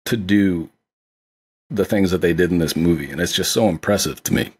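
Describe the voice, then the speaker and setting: Husky-voiced man in enthusiastic film chat. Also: sits low, normal speed. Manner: enthusiastic, agreeable. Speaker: podcast co-host, film director. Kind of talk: casual film review chat.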